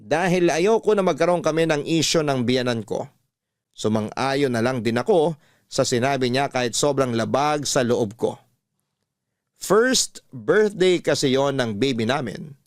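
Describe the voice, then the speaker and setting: A man reading a listener's love-story letter on a radio show, in calm, measured storytelling. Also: mid-range voice, no echo, clean studio sound. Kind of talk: scripted narration of a letter.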